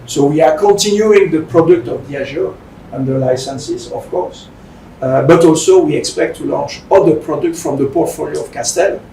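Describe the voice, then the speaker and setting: A man from Belgium with a French-speaking Belgian accent, giving a semi-formal speech as a company director in halting, non-fluent English. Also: gravelly, warm tone. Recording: roomy, distant mic with faint rumbling noise.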